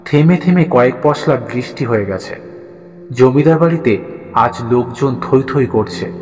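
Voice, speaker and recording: A man's voice: medium pitch, big-room sound, lo-fi sound. A man narrating a scripted horror audio story in a dramatic storytelling style. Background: background music.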